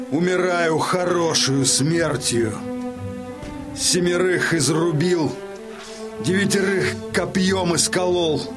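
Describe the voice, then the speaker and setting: A dying Cossack warrior, proud and at peace. His voice is fairly high and slow, declaiming solemnly over music in a radio drama. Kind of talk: theatrical audio drama death speech. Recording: clear music behind the voice.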